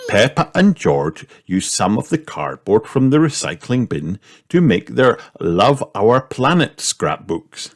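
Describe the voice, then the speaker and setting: A male narrator reads a children's storybook aloud. His voice is on the low side. He takes his time, with very expressive, varied intonation. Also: takes it slowly, few pauses. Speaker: audiobook narrator.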